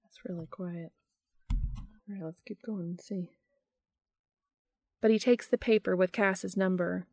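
Slow-paced woman, dry close recording. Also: fairly low pitch, steady tone, darkish tone, no echo. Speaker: podcast host reading fan fiction aloud. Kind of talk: casual home chat and read-aloud narration.

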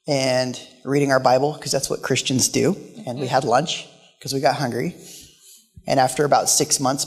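A man preaching as a guest pastor with a quiet voice, telling stories with humorous asides in a church. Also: mid-range voice, breathy edge. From Portland, Oregon. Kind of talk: sermon. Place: church sanctuary. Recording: live church hall with long reverb.

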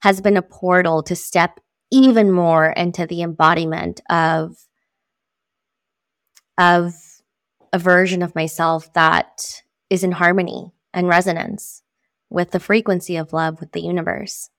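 A woman's voice: lowish voice, steady tone, a little slow, no room sound, studio quality. A woman whose first language is Spanish, a sound healer speaking earnestly and reflectively as a podcast guest. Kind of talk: spiritual podcast conversation.

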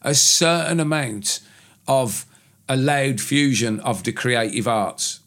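A man's voice: medium pitch, takes it slowly, a bit bright. A man co-hosting a casual podcast, talking in a rambling, opinionated way and swearing now and then. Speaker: podcast co-host, tattooist. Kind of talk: casual chat.

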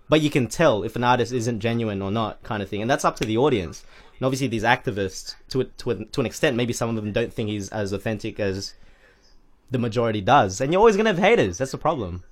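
Filipino Australian male rapper, podcast co-host, emphatic casual talker. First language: Tagalog. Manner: animated, emphatic, rising pitch when making a point. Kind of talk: casual chat.

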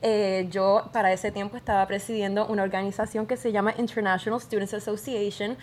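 A woman's voice: medium pitch, flat delivery, fairly quick, a little mellow, slight background noise. A young Puerto Rican woman with a Puerto Rican accent, earnestly answering interview questions in a casual chat. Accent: Puerto Rican Spanish. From Puerto Rico. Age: young adult. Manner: earnest, many affirming interjections.

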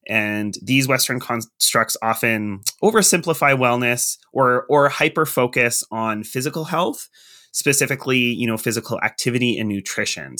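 A man's voice: mid-range voice, bright, forceful tone. A man hosting a podcast, asking long, framed questions in a conversational way. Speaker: podcast host. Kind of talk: interview questions.

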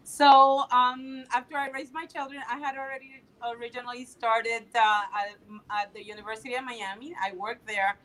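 A woman's voice: on the high side, steady tone, moderate pace, hardly any pauses, a bit bright, slight background noise, low-quality recording. A woman in her fifties from the Dominican Republic, a political candidate answering interview questions in an eager way with frequent fillers. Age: 50s.

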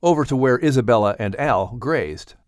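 A man announcing and narrating a children's audiobook in an animated scripted storytelling style. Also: medium pitch, normal speed, clean tone, no echo, studio quality.

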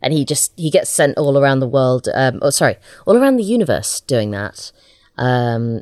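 A woman's voice: very deep voice, a little mellow, no room sound, clean studio sound. A woman chatting casually as an interview guest, a writer with a hesitant, self-deprecating manner.

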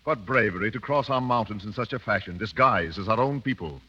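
An actor playing a suave, cruel, Oxford-educated mountain khan with urbane, mock-courteous menace in a 1930s radio drama. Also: male voice, lowish voice, fairly quick, sharp tone, husky. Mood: suave, expansive. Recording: dull 1930s radio broadcast.

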